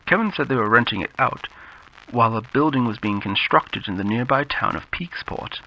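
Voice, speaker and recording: A man narrating a scripted horror story in the style of classic radio drama, with measured, dramatic delivery. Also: medium pitch, animated. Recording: muffled lo-fi audio with background noise.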